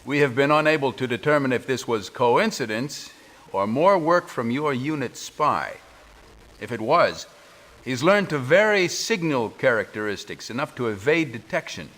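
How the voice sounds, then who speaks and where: An expressive, formal resistance commander. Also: male voice, mid-range voice. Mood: grave, formal. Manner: formal, deliberate, briefing. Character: earnest, authoritative male resistance commander. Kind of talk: video message briefing in a game.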